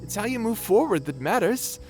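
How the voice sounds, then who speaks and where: A man's voice: high voice, very animated, moderate pace, darkish tone, faint music behind. A man playing a youthful-seeming, centuries-spanning space pirate in an audio drama, casual, teasing and reassuring.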